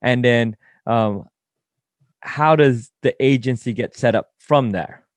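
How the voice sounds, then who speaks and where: A man's voice: medium pitch, takes it slowly, no room sound. Enthusiastic male podcast host, dry sound. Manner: enthusiastic, repetitive emphasis. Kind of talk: interview questions.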